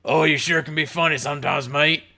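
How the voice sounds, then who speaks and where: Brief: man doing a gravelly, bright-edged old ghoul stallion, chummy barkeep. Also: on the high side, fairly even intonation, a little fast, full character voice. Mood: amused. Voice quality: gravelly, chummy, calls everyone mate. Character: gravelly old male ghoul pony bartender, jovial. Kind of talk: audiobook character voice.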